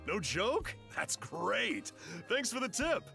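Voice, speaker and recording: A man's voice: sits high, big pitch swings, slow pace, gravelly, bright, forceful tone, soft background music, full character voice. An actor playing an upbeat, eager young man in a fantasy video game. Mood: upbeat, casual.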